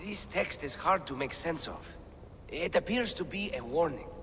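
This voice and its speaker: A man's voice: fairly high pitch, animated, moderate pace, fairly bright tone, in a large hall, a little hiss or hum. An actor playing an eager male scholar deciphering ancient writing, talking about his discoveries with enthusiasm. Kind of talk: game cutscene acting.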